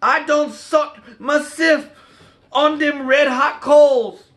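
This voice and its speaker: Excitable high-pitched Cajun storyteller. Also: male voice, slow, exaggerated voice. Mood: animated, exclaiming. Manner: exuberant, declamatory, singsong rhyming delivery. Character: excitable folksy Cajun man telling a Christmas tale. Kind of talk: rhymed storybook dialect read-aloud.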